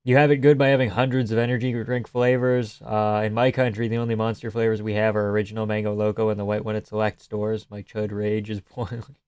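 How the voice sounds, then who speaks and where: Casual Canadian streamer, clean and dry. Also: male voice, lowish voice, level tone, quick pace, darkish tone, clear, resonant tone, no room sound. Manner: casual, sarcastic, swears freely. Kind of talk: live stream fight chat reading viewer comments.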